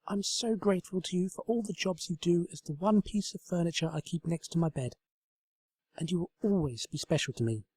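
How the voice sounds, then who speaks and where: A man's voice: sits high, brisk, darkish tone, breathy edge, no echo, pitched above the performer's own voice. A man voicing an earnest, kind-hearted young female unicorn in a comic storybook, sincere and easily flustered. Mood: gushing, fond. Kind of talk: comedic storybook reading.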